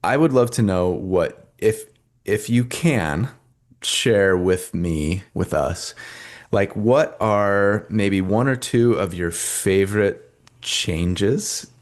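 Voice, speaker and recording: Soft-spoken man with a very low voice. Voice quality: soft, soothing voice likened to ASMR. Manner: gentle, hesitant, drawn-out questions. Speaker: interviewer and show host. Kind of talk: casual interview chat.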